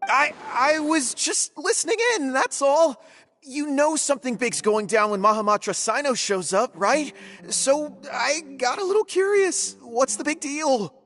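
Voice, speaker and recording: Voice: high voice, a little slow, a bit bright. An actor voicing a young, nervous student culprit in a game, stammering and defensive. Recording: game voice with long hall reverb.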